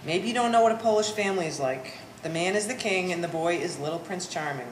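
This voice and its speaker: A woman's voice: on the low side, expressive, quick pace, natural voice. Woman playing sardonic sixty-year-old daughter, echoey room. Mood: bitter. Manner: dry, pompous big words. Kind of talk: amateur staged play reading. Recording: echoey room, faint background noise.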